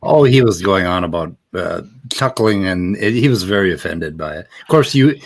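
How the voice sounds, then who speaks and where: A man's voice: low voice, expressive, normal speed. A man hosting a casual panel chat, blunt and joking as he rambles.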